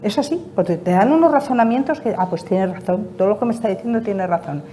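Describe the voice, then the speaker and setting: Woman from Spain, patient advocate on a panel; mellow tone, emphatic, faint background noise. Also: mid-range voice, lively intonation, fast. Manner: emphatic, repeats key points. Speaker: patient association representative. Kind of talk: panel interview answers.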